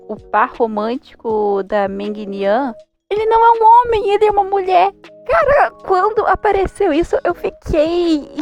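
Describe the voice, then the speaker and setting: A young woman with a Brazilian accent giving a casual, informal and expressive review commentary. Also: high-pitched, highs cut off. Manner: informal, expressive, exclaiming in mock disbelief. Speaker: manhwa reviewer. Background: faint music.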